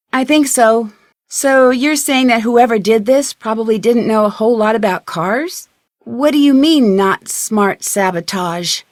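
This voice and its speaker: A woman playing a curious, confident young amateur detective in a video game, speaking in a clear, inquisitive, polite and self-assured way. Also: a little high, no room sound, studio quality.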